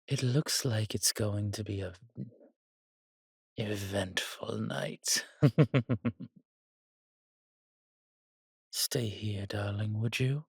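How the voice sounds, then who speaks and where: Man acting a haughty low-voiced vampire warrior. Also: creaky, breathy edge, dry recording, clean studio sound, exaggerated voice. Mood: menacing, hungry. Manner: haughty, theatrical, deliberate. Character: arrogant, menacing male vampire warrior, suavely affectionate. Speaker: audio roleplay voice actor. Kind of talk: dramatic roleplay monologue, addressing a listener.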